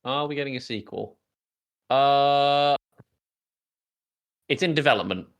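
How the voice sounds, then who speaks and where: Bright-voiced male podcast host. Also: medium pitch, a little slow, muffled recording. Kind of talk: casual chat, moderating.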